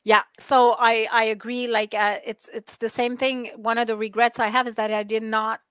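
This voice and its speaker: A middle-aged woman chatting casually, animated and giggly, full of "like" and "you know". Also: on the high side, normal speed, smooth, dry recording. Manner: animated, giggly, filler-heavy. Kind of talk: casual chat.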